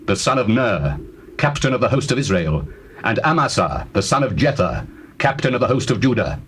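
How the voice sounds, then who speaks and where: A man playing King Solomon, a regal, devout ruler, with measured, formal declamation in a dramatized scripture reading on a noisy old cassette. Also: medium pitch, breathy edge. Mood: stern, commanding. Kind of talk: dramatized scripture reading, classical stage acting.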